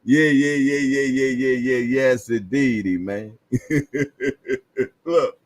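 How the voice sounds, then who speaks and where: A man's voice: medium pitch, fairly even intonation, takes it slowly, no room sound. A man in his fifties hosting a boxing livestream, chatting casually and reading viewer comments, chuckling and repeating phrases with slangy banter. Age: 50s.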